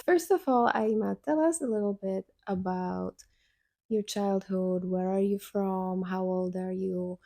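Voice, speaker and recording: A woman's voice: medium pitch, unhurried, creaky, warm tone, no room sound, clean studio sound. A woman hosting a podcast, asking short, friendly questions. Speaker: podcast host. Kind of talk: interview questions.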